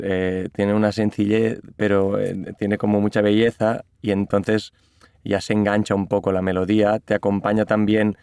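Catalan guy, low gravelly voice, smooth, talks slow and thinks out loud, dry sound. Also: dry recording. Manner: thoughtful, hesitant, many restarts. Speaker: musician, interview guest. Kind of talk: interview conversation.

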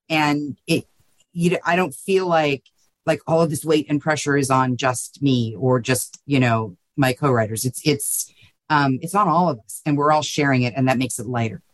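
A woman's voice: low voice, no echo. Woman talking thoughtfully, dry close sound. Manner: thoughtful, informal, peppered with you know and right. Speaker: guest writer. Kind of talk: conversational interview answer.

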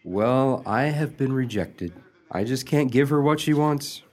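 A man's voice: mid-range voice, expressive, measured pace, darkish tone, natural voice. An actor playing a mild, helpful male friend in a radio comedy, speaking in an earnest, theatrical way. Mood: helpful, confiding. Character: meek, helpful old friend giving sly romantic advice. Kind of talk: radio comedy play acting.

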